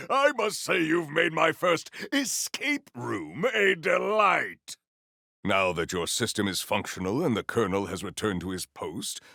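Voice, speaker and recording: Noble video game warrior man, slow stately formal talk, big swoopy delivery. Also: mid-range voice, gravelly, sharp tone, no room sound, clean studio sound, full character voice. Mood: grandly delighted, then solemn, resolute. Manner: stately, theatrical, archaic formal phrasing. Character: proud, honorable, formal warrior, adult man, earnest and grandiose. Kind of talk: video game character voice lines.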